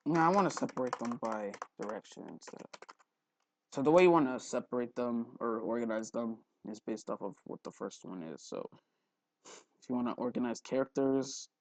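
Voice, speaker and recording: Young male tutorial host, creaky fry, lively intonation, rambling and off-the-cuff delivery. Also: mid-range voice, moderate pace. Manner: rambling, thinking aloud, frequent throat clearing. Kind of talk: casual screen-recorded software tutorial. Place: indoors at a computer, voice-over for screen recording.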